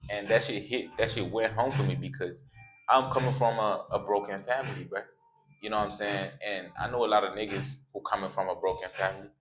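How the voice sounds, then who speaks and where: Slangy young man with a low voice. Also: fairly even intonation, fairly quick, crowd noise. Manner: slangy, streetwise, repeats phrases for emphasis. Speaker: YouTuber. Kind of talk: casual motivational vlog.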